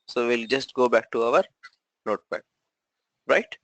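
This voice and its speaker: A man's voice: medium pitch, unhurried, no room sound. Man teaching over a video call. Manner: explanatory, checks in with "right?". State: has a cold, voice low. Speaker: SAP trainer. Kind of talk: online training session.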